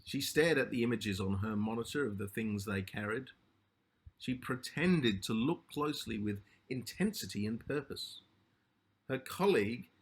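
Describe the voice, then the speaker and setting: A man narrating a literary short story as its author, in measured, deliberate phrases with a slightly husky voice. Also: fairly low pitch.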